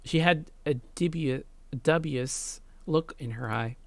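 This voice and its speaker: A woman's voice: deep voice, slow, no room sound. A woman hosting a let's play, reading visual novel narration and adding casual gaming commentary, close on the mic.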